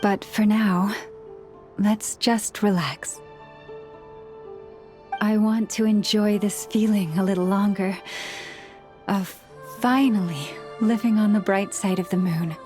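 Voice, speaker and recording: Actress as a gentle game heroine: slow, mid-pitched, wistful. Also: female voice, fairly even intonation, soft background music, close to an everyday voice. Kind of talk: video game character dialogue.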